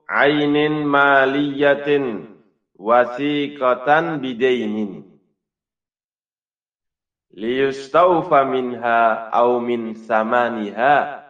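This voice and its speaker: A man teaching an Islamic lesson in a didactic way, explaining a text through questions and answers. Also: medium pitch. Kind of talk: religious lesson, reading Arabic text and explaining it. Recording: muffled, distant microphone.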